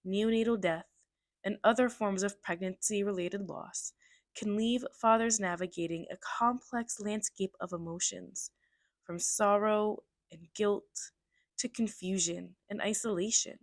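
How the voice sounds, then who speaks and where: A woman reading a scripted introduction in a measured, deliberate way. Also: mid-range voice, no room sound, clean studio sound. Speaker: introduction narrator. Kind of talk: scripted narration.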